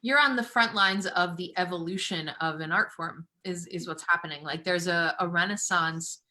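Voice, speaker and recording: A woman's voice: lowish voice, moderate pace, pushed and bright. A woman hosting a remote interview, enthusiastic and affirming, asking long, winding questions. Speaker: host, coach. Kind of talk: casual interview conversation.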